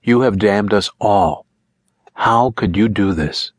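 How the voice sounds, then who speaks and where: A desperate young man begs his sister in an earnest, pleading voice, voiced by a male narrator. The voice is low and close, with a dry, unroomy sound. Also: slow, dry recording.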